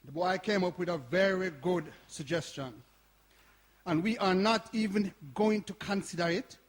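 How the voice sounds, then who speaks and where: A man's voice: high-pitched, moderate pace. An actor playing a frustrated, persistent older man at a community centre in a radio play. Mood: challenging. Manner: sighing, challenging, insistent. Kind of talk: radio drama acting before an audience. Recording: reverberant hall recording.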